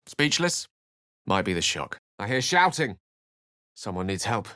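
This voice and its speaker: A man's voice: fairly low pitch, sing-song, unhurried, bright, forceful tone, no echo, studio quality, full character voice. A man voicing an eloquent, bookish, theatrical wizard in a video game, speaking with refined and wry wording. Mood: measured, alert. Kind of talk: video game character dialogue and narration.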